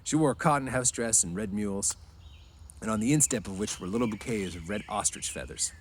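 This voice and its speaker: A man's voice: fairly low pitch, brisk, a little hiss or hum. A man narrating a story aloud in an amateur style, sometimes stumbling and correcting his words. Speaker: reader.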